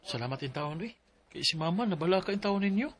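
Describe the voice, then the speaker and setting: A man's voice: high-pitched, expressive, fast. A man playing a deferential, obliging family relative in a radio drama, respectful and agreeable. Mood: concerned.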